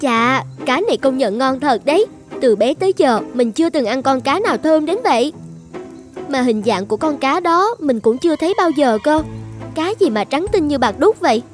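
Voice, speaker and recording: A woman voicing a naive young daughter in an animated folktale. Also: high-pitched, moderate pace. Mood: curious, delighted. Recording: music bed under the voice.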